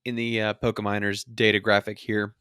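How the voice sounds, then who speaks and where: A man's voice: fairly low pitch, monotone, brisk, sharp tone, smooth, dry recording, clean studio sound. A man co-hosting a casual gaming podcast, explaining his opinions in an informal way. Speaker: podcast co-host. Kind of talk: casual two-person podcast discussion of a mobile game.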